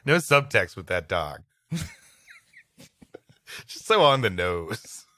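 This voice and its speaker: A man's voice: low voice, very animated, takes it slowly, sharp tone, no echo. A man co-hosting a comedy podcast, reading a story aloud and playfully breaking off to riff. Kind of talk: story reading broken up by comic banter.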